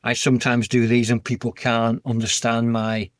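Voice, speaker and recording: A man's voice: medium pitch, completely flat delivery, normal speed. A man with a Yorkshire accent, a podcast guest speaking earnestly and plainly about his life. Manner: earnest, heartfelt, plain-spoken. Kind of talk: candid personal interview.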